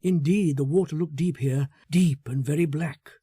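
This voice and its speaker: Male literary narrator: dark, relaxed timbre, measured dramatic delivery, close dry sound. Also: fairly high pitch, slightly rough, no echo. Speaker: audiobook narrator. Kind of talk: literary scripted story narration.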